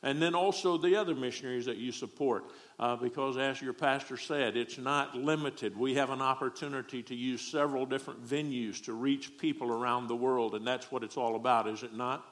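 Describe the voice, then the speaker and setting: A man's voice: mid-range voice, fairly even intonation, a little fast, a bit bright. Southern guy from texas preaching, middle-aged, jokey folksy way. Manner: folksy, joking. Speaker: guest preacher. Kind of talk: sermon.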